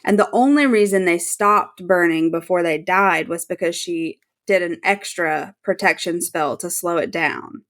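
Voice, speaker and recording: A woman's voice: fairly low pitch, a little slow, hardly any pauses, fairly bright tone. A woman co-hosting a podcast, casually explaining and recapping a story in an informal way. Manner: informal, sweary, laughs readily. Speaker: podcast co-host.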